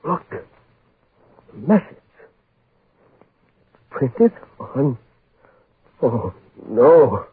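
An actor playing a horror-stricken man in a 1940s radio horror play, gasping out halting phrases on a noisy old broadcast. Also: mid-range voice, big pitch swings, slightly rough, phone-quality sound, full character voice. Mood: horrified. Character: horror-stricken man reading out a dreadful message.

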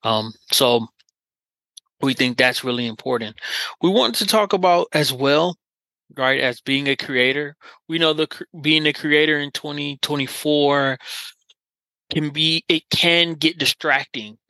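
A man's voice: fairly high pitch, unhurried, bright, forceful tone, no echo, low-quality recording. A man hosting a podcast, talking in an earnest, encouraging way with lots of filler phrases. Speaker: podcast host. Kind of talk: casual interview chat.

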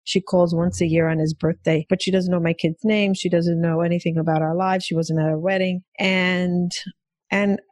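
A woman's voice: lowish voice, level tone, moderate pace, dry recording. A woman hosting a podcast who listens attentively and then shares a personal story in conversation. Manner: attentive, frequent soft backchannels, storytelling. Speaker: podcast host. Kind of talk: interview conversation.

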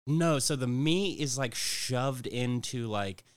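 A man's voice: medium pitch, slow, clear, resonant tone, no room sound. A man in his thirties from West Virginia, a comedian guest chatting casually on a podcast and laughing often as he talks. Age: 30s. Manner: laid-back, laughs often while talking.

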